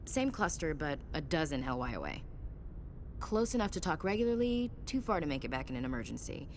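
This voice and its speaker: Game role: down-to-earth female soldier, notably low pitch, frank informal talk, faint rumble behind. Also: big pitch swings, brisk. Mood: earnest, proud. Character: tough, candid female soldier, protective big sister. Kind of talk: naturalistic video game dialogue acting.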